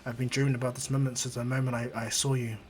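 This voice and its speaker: A man voicing a gentle, protective young male hero, speaking softly and reassuringly in an amateur story. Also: medium pitch, little pitch movement, soft background music, lightly acted. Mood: tender, resolute. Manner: soft, reassuring, measured. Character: protective teenage boy hero, gentle but powerful. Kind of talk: amateur fan-fiction storytelling.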